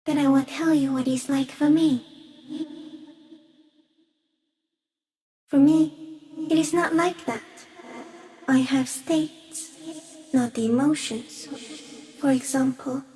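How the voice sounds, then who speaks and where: Game creature: a detached, curious stick insect. High, mellow, soft and halting. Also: female voice, steady tone, gravelly, exaggerated voice. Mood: musing, detached. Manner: slow, halting, deliberate musing in short fragments. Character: ancient, serene, otherworldly giant stick insect, detached and curious. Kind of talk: video game character voice acting.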